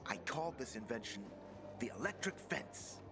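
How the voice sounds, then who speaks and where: An actor playing a nervous young male apprentice inventor who rambles and swings between gloom and excitement, over game music. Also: mid-range voice, moderate pace, vocal fry. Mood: fretful. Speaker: apprentice craftsman. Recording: game soundtrack music behind.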